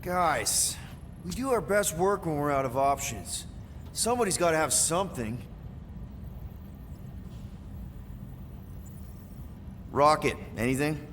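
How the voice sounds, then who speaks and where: A man's voice: fairly high pitch, animated, moderate pace, fairly bright tone, slight background noise. Casual, quippy male space crew leader. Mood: earnest, brainstorming. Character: scrappy, upbeat human man leading a space crew. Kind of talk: video game cutscene acting.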